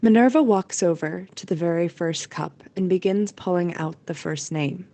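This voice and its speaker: A woman's voice: fairly low pitch, normal speed, dark tone, slight background noise. A woman narrating a scripted audio drama as its storyteller.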